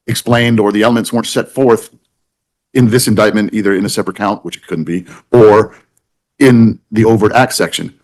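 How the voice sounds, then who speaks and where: Guy judge talking from the bench, lowish voice, kinda halting, thinks out loud and restarts a lot. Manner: halting, deliberative, with repeated false starts. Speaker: judge presiding over a court hearing. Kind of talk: courtroom discussion, thinking aloud from the bench.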